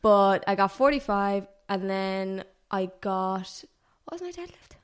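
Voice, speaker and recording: A woman's voice: mid-range voice, expressive, measured pace, clear, resonant tone. A woman, a podcast guest chatting casually and rambling a little as she corrects herself. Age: 20s. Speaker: podcast guest, personal trainer. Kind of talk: casual chat.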